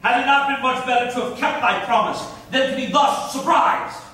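A man's voice: extremely high pitch, normal speed, pushed and bright. A man playing a proud conquering commander on stage, declaiming old verse in an echoey hall. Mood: stern, triumphant. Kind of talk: theatrical staged reading of Elizabethan verse. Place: theatre stage, indoors. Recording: echoey stage hall from far off, faint noise.

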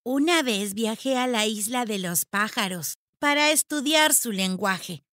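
Woman as cheerful, high-voiced boy wizard. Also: animated, unhurried, fairly bright tone, dry recording, clean studio sound. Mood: explaining.

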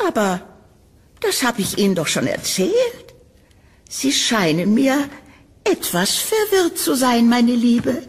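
Horror audio drama landlady , played by a woman: older, condescending, fairly high husky voice, sugary menace. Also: expressive, heavily acted. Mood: falsely sweet. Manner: drawn-out, patronizing endearments. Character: older landlady, falsely sweet, condescending and sinister.

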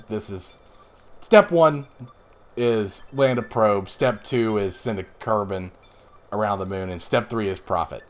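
Man, 30s, slightly hoarse, casual gameplay commentary, rambling, self-deprecating, desk mic. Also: fairly low pitch. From Northeastern US. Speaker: let's play host.

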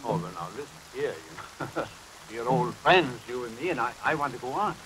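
A kindly, fatherly fellow played by a man coaxes and persists in halting, repeated phrases. His voice is mid-pitched, from a 1930s horror film with a noisy soundtrack and rain behind it. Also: animated, a bit bright, close to an everyday voice. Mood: persuading. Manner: coaxing, halting, repeats himself. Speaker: film actor. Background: rain storm.

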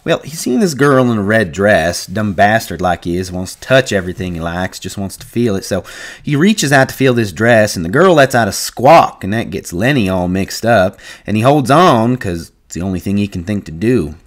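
Man reading as gruff ranch hand, anxious, rural drawl, lowish voice. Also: lively intonation, normal speed, natural voice. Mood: worried. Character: young adult male ranch hand, anxious and protective.

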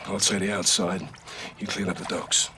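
An actor as a gruff, low British captain. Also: male voice, level tone, normal speed, noisy background, lightly acted. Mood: hushed, commanding. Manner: terse, hushed, commanding. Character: gruff, seasoned male special forces captain. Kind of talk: cinematic military game acting.

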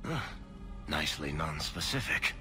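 An actor playing a roguish, evasive dwarf storyteller in a video game, with a sardonic, theatrical and teasing manner. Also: male voice, a bass voice, very animated, measured pace, music in the background, exaggerated voice. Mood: sardonic, storytelling. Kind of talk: dramatic video game dialogue.

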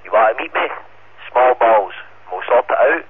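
A man's voice: medium pitch, animated, moderate pace, slightly rough. Sweary Scottish man on a tinny radio. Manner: sweary, confrontational. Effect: two-way radio. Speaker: amateur radio operator. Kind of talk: casual radio chat. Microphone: radio transceiver. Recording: tinny band-limited radio link, static noise.

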